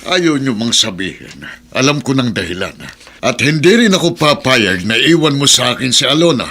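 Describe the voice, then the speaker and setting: A man's voice: mid-range voice, very animated, moderate pace, sharp tone, full character voice. An actor playing a stern, disappointed old grandfather who scolds in a radio drama. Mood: angry reproach. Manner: scolding, weighty.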